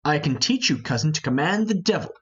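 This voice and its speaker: A man's voice: on the high side, big pitch swings, a little fast, slightly rough, muffled recording. A man playing a proud, boastful mystic lord in a Shakespearean play reading, declaiming grandly.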